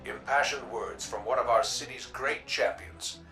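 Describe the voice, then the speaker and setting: An actor playing a polished, formal city news broadcaster, probing guests in a video game radio interview. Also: male voice, mid-range voice, sharp tone, roomy sound, recorded from a distance, faint music behind, natural voice. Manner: formal, measured announcer phrasing.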